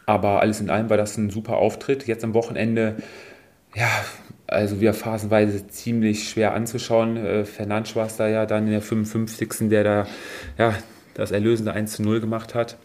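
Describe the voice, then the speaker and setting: A man hosting a casual football podcast, chatting in a relaxed way with many fillers. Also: fairly low pitch, normal speed. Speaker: podcast host.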